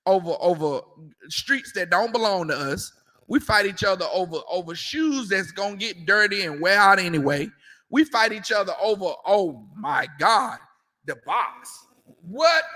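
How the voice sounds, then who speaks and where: A man's voice: sits high, sharp tone, long reverb tail. A man giving a confrontational sermon as a street preacher, emphatic and repetitive, with mocking asides.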